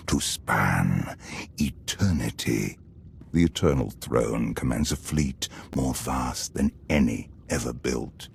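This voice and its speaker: An actor playing an ancient, imperious emperor in a video game, speaking in a grandiose, measured, commanding way with a gravelly voice. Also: male voice, very deep voice, a little hiss or hum, full character voice. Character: ancient male immortal emperor, imperious, calm, megalomaniac. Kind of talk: video game cutscene acting.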